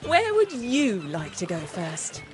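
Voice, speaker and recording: A woman's voice: medium pitch, wildly swooping pitch, slow, over music. A woman voicing a cheerful, welcoming otter ship captain in a children's cartoon game, hearty and encouraging. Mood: jolly, hearty.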